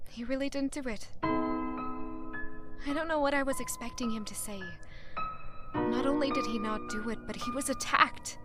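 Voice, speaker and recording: An actress playing a troubled young noblewoman, speaking her inner thoughts in a quiet, reflective monologue that turns pained. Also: a little high. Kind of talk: audio drama narration. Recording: clear music under the voice.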